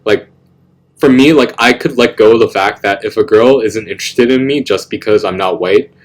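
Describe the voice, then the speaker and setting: Young man, deep voice, casual host, animated, laughs a lot, slang-heavy. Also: moderate pace, poor audio. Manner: animated, laughs often, says "bro" and "like" a lot. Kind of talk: casual chat.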